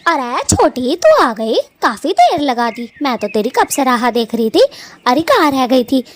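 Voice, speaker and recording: A woman's voice: high-pitched, very animated, a little slow, slight background noise. A woman voicing a fond, scolding, matchmaking elder sister bird in a cartoon story, with a homely, bossy, nagging manner. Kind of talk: animated cartoon story dialogue.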